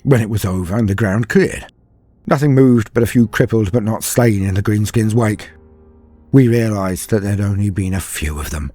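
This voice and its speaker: Man acting a haunted sci-fi soldier, deep husky voice, dramatic horror monologue, brooding, hushed to emphatic, faint music underneath. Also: normal speed, dry recording, lightly acted. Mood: grim, intense. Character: frightened, haunted far-future soldier, former factory worker, recalling terrors. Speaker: storyteller.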